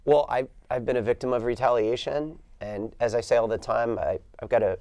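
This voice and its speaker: A man's voice: mid-range voice, steady tone, brisk, dark tone. A man who works as a union organizer, answering interview questions confidently and in rallying phrases.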